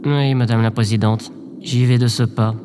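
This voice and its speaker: A man playing a glum, officious government bureaucrat in a comic audio drama, deadpan and pedantic. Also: medium pitch, normal speed, lightly acted. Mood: resigned. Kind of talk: audio drama comedy acting. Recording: faint background noise.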